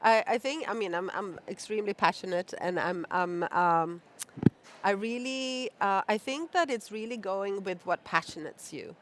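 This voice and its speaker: Woman panel guest, mid-pitched, earnest and a bit halting. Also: noisy background. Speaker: panel guest, programme manager. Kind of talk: conference panel discussion.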